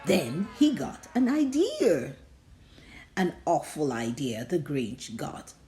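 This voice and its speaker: A woman's voice: low-pitched, wildly swooping pitch, slow, mellow, a little hiss or hum. A woman reading a children's storybook aloud, theatrically drawing out and stressing words. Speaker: storybook reader. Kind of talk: expressive children's read-aloud.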